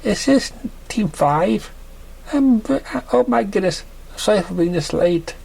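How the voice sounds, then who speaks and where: A man voicing a sweet, apologetic young pony mare in an amateur story reading, soft and politely flustered. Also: high voice, lively intonation, measured pace, vocal fry, breathy edge, voice pushed up, exaggerated voice.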